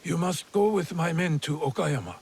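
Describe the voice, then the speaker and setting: An actor playing a stern, guarded feudal lord and father in a game cutscene, speaking curtly and with measured gravity. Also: on the high side, a little mellow, a little hiss or hum, natural voice. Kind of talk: video game cutscene acting.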